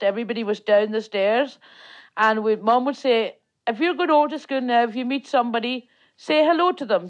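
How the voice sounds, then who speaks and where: A woman's voice: a little high, muffled recording. An older woman with a Donegal Irish accent, whose first language is Irish, telling stories of her childhood as an interviewee. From Tory Island, Ireland. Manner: plain storytelling, long chains of "and". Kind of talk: oral history reminiscence.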